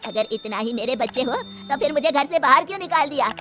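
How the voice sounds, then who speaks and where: A woman's voice: high voice, expressive, a little fast, a bit bright, soft background music. A woman voicing a kindly, long-suffering old female shopkeeper in a cartoon story. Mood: firm, reproachful.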